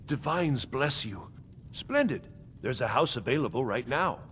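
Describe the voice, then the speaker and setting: An actor playing a courteous, formal, fussy castle steward in a fantasy video game. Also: male voice, mid-range voice, big pitch swings, a little slow, a bit bright, slight background noise. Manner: polite, formal. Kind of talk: video game character dialogue. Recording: long reverb, music behind.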